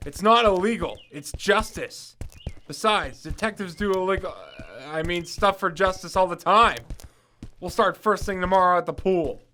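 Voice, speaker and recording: A man's voice: high-pitched, normal speed, pushed and bright, slight background noise. A man playing a cocky, dogged young amateur detective in an audio drama, self-assured and theatrical. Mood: breezy persuasion.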